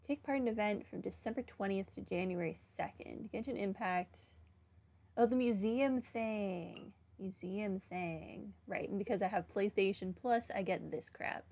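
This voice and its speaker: A woman's voice: mid-range voice, unhurried, a little mellow. A woman streamer giving casual, rambling game commentary in a dry, wry manner. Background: game music.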